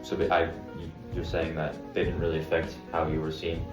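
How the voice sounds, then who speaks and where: A student interviewer speaks in a very deep voice, pausing often with ums. He sounds distant from the mic in an echoey room, and music runs behind him. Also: monotone. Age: young adult. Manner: hesitant, lots of um. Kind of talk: casual interview.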